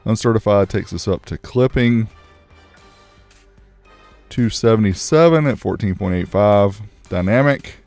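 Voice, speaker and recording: A man's voice: fairly low pitch, measured pace, gravelly, pauses often, darkish tone, no echo, faint music behind. A man reviewing car audio gear, narrating a test in a matter-of-fact, casual, technical way.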